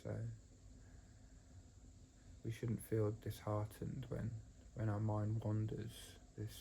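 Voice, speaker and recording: Man, meditation teacher, very soft dark tone, gravelly creak, gentle halting delivery, faint background noise. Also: on the low side, low-quality recording. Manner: halting, gentle, with long pauses. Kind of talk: guided meditation instruction.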